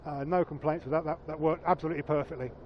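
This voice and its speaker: A man with a naturally loud voice, chatting casually and haltingly on a vlog, repeating words as he explains. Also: on the high side, steady tone. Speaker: motorcycle vlogger. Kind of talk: casual chat. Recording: dull lo-fi sound with faint traffic.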